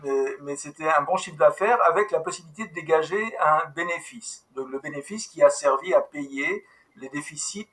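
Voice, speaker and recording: A man hosting an online team meeting over a video call, giving a business update in a brisk way with many restarts. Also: fairly high pitch, bright, forceful tone. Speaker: meeting host, company manager.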